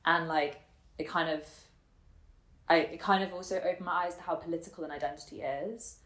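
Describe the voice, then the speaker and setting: A woman in her twenties telling her personal story casually and reflectively, thinking aloud in halting, informal phrases. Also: low-pitched, fairly even intonation, a bit bright. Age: 20s. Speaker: interviewee telling her family and life story. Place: indoor room in Germany. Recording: roomy echo on the voice.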